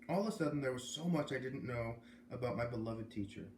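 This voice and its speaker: A man's voice: medium pitch, fast talker. A man with an American accent, hosting a read-aloud of a children's novel as a storyteller. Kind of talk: reading a children's novel aloud, with casual asides. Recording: roomy echo, faint background noise.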